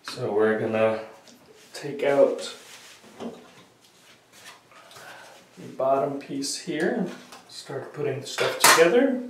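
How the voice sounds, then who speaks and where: A man's voice: mid-range voice, sing-song. A man with a sniffly cold explains a repair step by step, with many "uh" fillers as he works with his hands at a workbench. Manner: unhurried, many "uh" fillers, talking while working with hands. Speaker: hobbyist repair tutorial maker. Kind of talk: casual step-by-step repair tutorial. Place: small room at a workbench, indoors. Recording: roomy, distant camera audio with faint noise.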